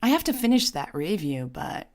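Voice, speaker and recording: A woman's voice: mid-range voice, very animated, normal speed, a little mellow, voice pushed up, lightly acted. A woman voicing a busy, blunt female colleague with an informal, exclamatory manner in an audio story. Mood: surprised, exasperated.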